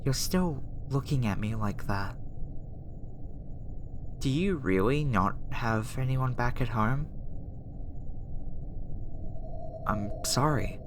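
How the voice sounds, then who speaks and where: A woman playing a gentle, conflicted young alien scientist in an ASMR roleplay, speaking softly and hesitantly straight to the listener. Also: rumbling low, animated, slow, pauses often, noisy background, heavily acted. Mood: sympathetic, reluctant. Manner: soft, hesitant, talking directly to the listener.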